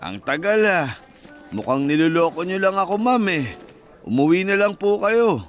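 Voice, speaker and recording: An actor in a Filipino radio drama playing a stubborn university security guard who is polite, firm and by the book. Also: male voice, high-pitched, big pitch swings, moderate pace, faint music behind. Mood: unyielding.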